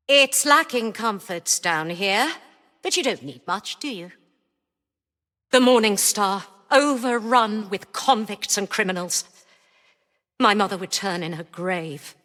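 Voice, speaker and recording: An actress playing a cynical, commanding spaceship mistress in a video game, imperious, dismissive and dryly sardonic. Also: female voice, mid-range voice, pushed and bright. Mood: brisk, dry, then cynical, wry. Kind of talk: scripted video game voice lines. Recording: long reverberant hall echo.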